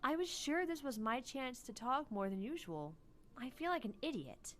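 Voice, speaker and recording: A woman's voice: sits high, very animated, moderate pace, slight background noise, voice pushed up. A woman voicing a prickly young female AI from an anime visual novel, tart but caring. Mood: miffed, scolding. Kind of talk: visual novel character read-aloud.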